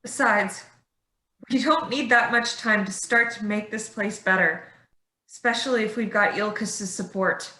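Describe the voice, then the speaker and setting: A woman's voice: mid-range voice, measured pace, bright, forceful tone. An actress playing an anxious, earnest woman vigilante in a staged play reading. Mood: weary, hopeful. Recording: echoey room.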